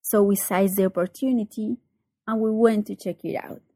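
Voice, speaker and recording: A Colombian woman, a native Spanish speaker, giving a prepared personal narration with measured, careful phrasing and a Colombian accent. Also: mid-range voice, fairly even intonation, mellow, slightly rough, dry recording. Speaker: circus teacher and performer.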